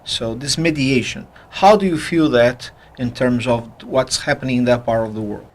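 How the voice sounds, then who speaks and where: Mid-pitched man hosting an intimate interview. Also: normal speed. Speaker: interview host.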